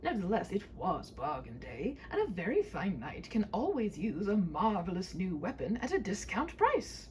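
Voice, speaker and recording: A woman's voice: mid-range voice, huge pitch swings, normal speed, hardly any pauses, darkish tone, slight background noise. A woman reading a humorous story in a whimsical, mock-formal way, with wry asides.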